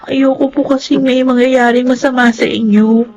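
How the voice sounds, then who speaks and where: An earnest, affectionate young Filipino woman in a radio drama. Her voice sits fairly high and the sound is a little dull and lo-fi. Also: fairly even intonation, normal speed, a little mellow. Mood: concerned, caring. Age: young adult. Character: Ivy, caring, earnest young woman, devoted daughter.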